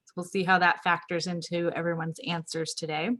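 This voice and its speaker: A woman's voice: on the low side, little pitch movement, fairly quick, a bit bright. A woman hosting an online club meeting, upbeat and organized as she runs through roles and reminders. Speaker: toastmaster of the meeting. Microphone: video call.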